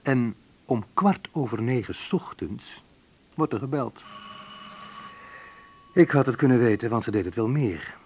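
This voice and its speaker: A man's voice: mid-range voice, lively intonation, measured pace, pauses often, a little mellow, slight background noise. An actor playing a wry, sensitive young man who recalls his student love life in an intimate first-person radio play monologue. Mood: tense recollection.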